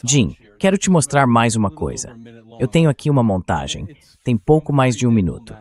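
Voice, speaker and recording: A man's voice: medium pitch, big pitch swings, fairly quick. A man as a talk show host, in a translated conversational interview voice-over.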